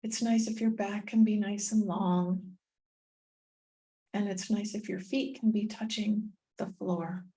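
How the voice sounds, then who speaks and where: A woman guides a meditation at a very unhurried pace. Her voice is soft-edged and relaxed, at a middling pitch. She speaks gently in short phrases and leaves long, soothing pauses between them. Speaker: meditation guide and tapping coach.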